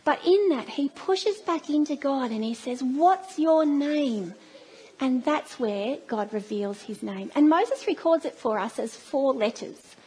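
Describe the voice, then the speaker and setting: A woman's voice: high voice, animated, measured pace, poor audio. A middle-aged Australian woman giving a sermon in a church, telling stories with playful asides. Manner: warm storytelling, playful asides. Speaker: preacher.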